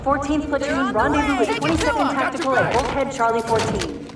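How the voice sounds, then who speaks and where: A woman voicing a composed female shipboard announcer over a PA speaker, making flat, formal announcements. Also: high voice, a little fast, over a noisy crowd, heavy background noise. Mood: formal alert. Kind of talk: video game cutscene acting. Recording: distant echoing PA over loud music. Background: loud orchestral music.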